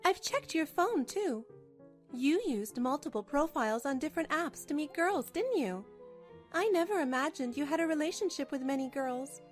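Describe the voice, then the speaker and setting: A woman acts out a betrayed young wife in a manga drama, her voice very high. She is cold, scornful and righteously angry, biting into each accusation. Soft music sits behind her. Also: expressive, normal speed. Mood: accusing. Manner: cutting, accusatory. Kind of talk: dubbed manga drama acting.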